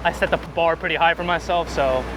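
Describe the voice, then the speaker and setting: A man's voice: fairly high pitch, steady tone, fairly quick. A man being interviewed as a guest, chatting casually and informally on a busy city street. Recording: noisy outdoor city street with traffic.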